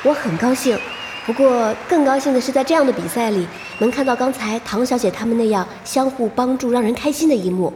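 A woman playing a composed, perceptive young gamer in an audio drama, speaking in a measured, gentle way. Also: on the high side, noisy background, natural voice. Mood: warm, moved.

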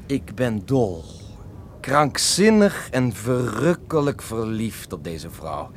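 An actor in a radio play playing a cocky, lovesick young beatnik poet who smokes marijuana, with a theatrical, mocking drawl. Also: male voice, medium pitch, very animated, takes it slowly, a little hiss or hum. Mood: grandiose, smitten. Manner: theatrical, mocking, languid drawl with sudden outbursts.

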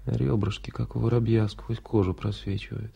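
An actor playing a kindly, wry hospital doctor in a radio drama, bantering gently, reassuring but firm. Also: male voice, fairly low pitch, flat delivery, moderate pace, gravelly, dull audio. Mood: gently examining. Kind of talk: 1980s Soviet radio drama acting.

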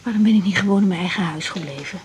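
A woman's voice: medium pitch, very fast, a little mellow, lightly acted. An actress in a radio drama playing a young woman doctor, hurt and bluntly confrontational, over a noisy recording. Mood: suspicious, hurt. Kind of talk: naturalistic 1980s radio drama acting.

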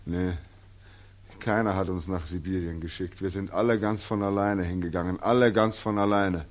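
A man's voice: sits low, steady tone, normal speed, natural voice. An actor playing a bitter, desperate 25-year-old returned soldier in a 1940s radio drama, terse and weary or anguished in his pleading. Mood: bitter sarcasm. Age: young adult.

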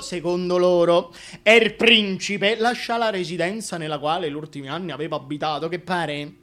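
A man performs a working-class Roman busybody in a comic theatre monologue. The voice is bright and forceful, full of colloquial exclamations, indignantly standing up for the king like a neighbourhood gossip. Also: high voice.

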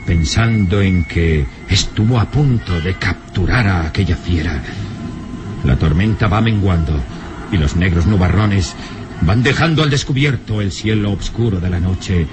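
Low-voiced, grandiloquent radio serial narrator. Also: male voice. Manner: theatrical, grandiloquent. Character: solemn, dramatic male storyteller. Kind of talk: scripted old Mexican radio serial narration. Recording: old radio serial, music underneath.

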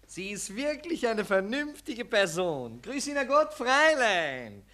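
A woman's voice: fairly high pitch, very animated, measured pace, a bit bright. An actress playing a knowing, sighing woman in a 1940s radio play. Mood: knowing pity.

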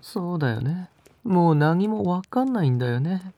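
An actor in an intimate Japanese audio drama, playing a possessive, obsessively doting young male lover who murmurs tenderly and clingily in a breathy, often whispered voice. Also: medium pitch, lively intonation, normal speed, warm tone, no echo, close to an everyday voice. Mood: obsessive tenderness.

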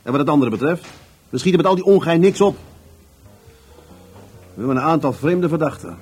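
A man's voice: a little high, expressive, brisk, darkish tone, husky, soft background music. A man acting a blunt, exasperated police detective with a sardonic manner in a Dutch radio drama. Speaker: actor in a radio play.

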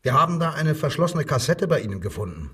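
A man playing a measured, insistent Nazi-era police inspector who coaxes and probes with authority in a radio crime drama. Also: fairly high pitch, sing-song, a bit bright, distant mic, slight background noise. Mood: probing. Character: controlled, probing male Nazi-era Berlin police inspector. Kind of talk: German radio drama dialogue, interrogation.

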